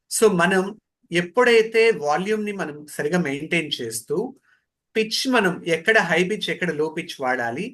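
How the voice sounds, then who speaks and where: A man with a slightly high, very bright voice teaches as a trainer. He speaks in an instructive lecture style, putting questions to his listeners and repeating the key phrases. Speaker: voice modulation trainer.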